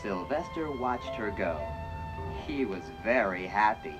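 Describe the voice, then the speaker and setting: A man narrating a children's read-along record slowly and clearly, with funky 1980s synth music behind. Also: on the low side, animated. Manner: slow and clear for young children. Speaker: storybook narrator.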